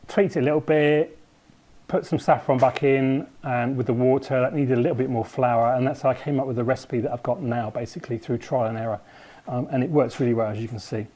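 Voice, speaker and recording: A man's voice: medium pitch, mellow. A man, a home baker explaining his recipe to camera in a loose, thinking-aloud way with plenty of ums.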